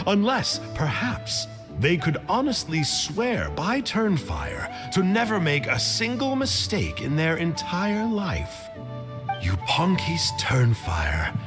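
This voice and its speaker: A man's voice: a little high, full character voice. A man voicing a bookish, sly sorcerer in a video game, with an erudite, smug and theatrical delivery. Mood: ominous, studious, then smugly pleased. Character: bookish, sly male Abyss mage, smugly scholarly. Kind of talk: video game character acting.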